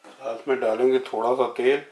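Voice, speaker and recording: Male home-cook host, medium pitch, plain step-by-step narration, echoey kitchen recording. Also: fairly even intonation, moderate pace, a little mellow. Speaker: home cooking channel host. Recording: roomy kitchen echo, faint noise, sizzling.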